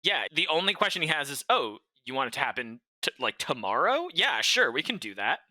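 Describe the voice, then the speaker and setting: A man's voice: fairly high pitch, very animated, brisk, bright, forceful tone, dry recording. A man co-hosting a podcast, talking casually as he recaps a story with the others. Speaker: podcast co-host.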